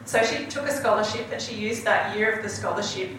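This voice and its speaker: A woman's voice: medium pitch, fairly quick. Earnest woman in an echoey hall. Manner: earnest, with frequent ums. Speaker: panel guest. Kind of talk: storytelling on a panel. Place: large event hall. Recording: echoey hall, distant mic, background noise.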